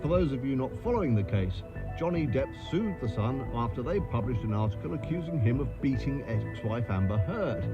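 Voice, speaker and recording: Actor as pompous radio host, loud music. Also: male voice, medium pitch, expressive, brisk, natural voice. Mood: pompous, judgmental. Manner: pompous, emphatic, rhetorical. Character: opinionated, pointed male radio show host. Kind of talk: radio news commentary within a drama. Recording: dull radio sound under loud music.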